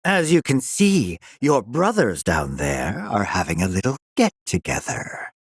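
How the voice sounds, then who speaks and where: An actor playing a pompous middle-aged mad scientist in a video game, sinister and gloating, drawling his lines with relish in a raspy voice. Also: fairly low pitch, very animated, unhurried, no room sound, studio quality, heavily acted. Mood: pompous, mocking. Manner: pompous, drawling, relishing menace with sly chuckles. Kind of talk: theatrical video game villain acting.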